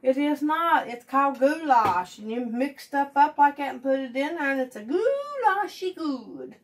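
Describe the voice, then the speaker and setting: High-voiced Appalachian woman cooking at home. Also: big pitch swings, normal speed. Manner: folksy, teasing, laughs often. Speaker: home cooking show host. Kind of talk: casual cooking vlog chat. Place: home kitchen, indoors.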